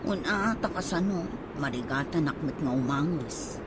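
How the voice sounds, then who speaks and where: A wheedling, grumbling Ilocano woman friend played by a woman in a radio comedy drama. Her voice sits very low. There is clear outdoor noise and birdsong behind her. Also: expressive, normal speed. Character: complaining, wheedling woman friend with nose trouble. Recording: noisy audio with birds and traffic.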